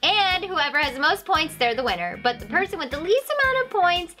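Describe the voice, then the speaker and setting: A woman's voice: very high-pitched, very animated, a little fast, bright, forceful tone. A young woman in casual chat with friends during a game, exclaiming and giggling. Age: young adult. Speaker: player in an impressions game show. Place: living-room studio set, indoors. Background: prominent background music.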